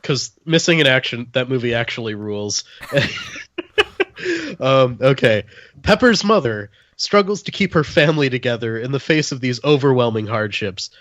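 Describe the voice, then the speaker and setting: A man's voice: mid-range voice, lively intonation, normal speed, fairly bright tone, dry recording. A man hosting a podcast in casual conversation, recorded dry with a dull, lo-fi sound. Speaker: podcast host. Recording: dull lo-fi close dry mic.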